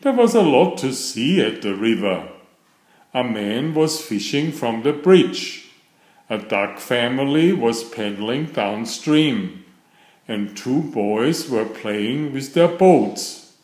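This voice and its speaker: A man reading a children's story aloud in a deliberate, expressive storytelling manner, with a roomy sound. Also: medium pitch. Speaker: storybook narrator. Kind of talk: children's read-aloud narration.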